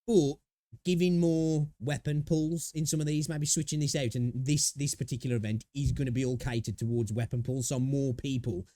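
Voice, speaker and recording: A man giving casual, excitable reaction commentary on a game video. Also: medium pitch, normal speed, no room sound, studio quality. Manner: chatty, excitable, curses casually. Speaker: channel host reacting to a video.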